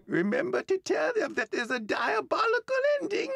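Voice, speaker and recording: A pompous, food-loving French gentleman host, played by a man in a radio drama, grandly mannered and mischievous. His voice swoops wildly up and down and is edged with a gravelly fry. The sound is dry and close. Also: very high voice, very animated, normal speed, dry recording, full character voice. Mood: teasing. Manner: theatrical, affected French formality. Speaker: show presenter character.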